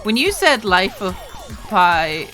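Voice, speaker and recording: Woman, relaxed gaming streamer, lilting delivery, game music underneath. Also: mid-range voice, low-quality recording. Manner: chatty, rambling, quick to laugh. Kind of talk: casual gaming stream chat.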